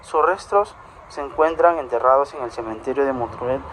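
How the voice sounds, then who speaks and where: A man reads a scripted biographical account in a mid-pitched voice. Faint ambient noise, like a vehicle, sits behind him. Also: normal speed.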